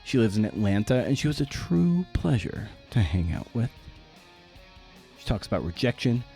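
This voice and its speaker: A man's voice: mid-range voice, big pitch swings, moderate pace, warm tone, faint music behind. A man hosting a podcast in a casual, conversational style with informal, colloquial phrasing. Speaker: podcast host.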